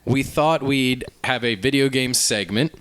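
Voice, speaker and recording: A man's voice: mid-range voice, a little slow, fairly bright tone, clear, resonant tone. A man hosting a podcast in casual chat, explaining things with a wry touch. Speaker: podcast host.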